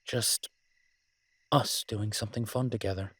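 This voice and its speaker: Low, husky, tender werewolf boyfriend played by a man. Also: steady tone, unhurried, a bit bright, no room sound. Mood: hopeful, affectionate. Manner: hesitant, tender, conversational, lightly teasing. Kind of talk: romantic roleplay audio, intimate casual conversation.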